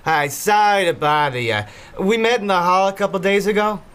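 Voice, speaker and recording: An actor playing a grouchy, nervous recluse in an audio drama, irritable and prone to stammering. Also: male voice, sits high, normal speed, fairly bright tone, clean tone. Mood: nervous pleading. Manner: irritable, stammering when anxious. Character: grouchy reclusive man, nervous and pleading under pressure.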